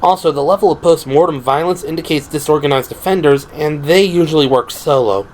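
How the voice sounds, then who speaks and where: Man voicing a composed young policewoman, pitched up. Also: a little hiss or hum, lightly acted. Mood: analytical. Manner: measured, analytical briefing. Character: young woman officer, composed, earnest, pitching theories.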